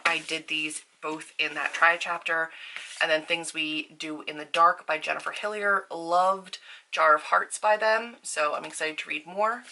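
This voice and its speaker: A woman with a fairly deep voice and a very bright, forward tone. She talks casually and upbeat, like a vlogger at home, with informal phrasing and little asides. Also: a little hiss or hum, low-quality recording. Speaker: book vlogger and author. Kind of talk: casual chatty vlog. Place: indoors at a desk at home.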